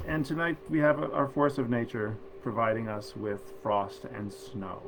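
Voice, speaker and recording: A man's voice: mid-range voice, creaky, slight background noise, rough recording. A man hosting a literary reading, speaking as a presenter and storyteller of old tales. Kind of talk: informal introduction, then storybook reading aloud.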